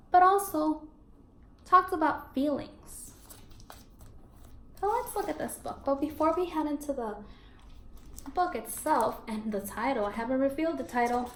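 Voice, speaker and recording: High voiced lady teacher reading to little kids, expressive n encouraging, echoey room w some noise. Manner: encouraging, expressive, repeats and confirms children's answers. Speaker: elementary school teacher. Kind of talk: read-aloud lesson for young children, asking questions.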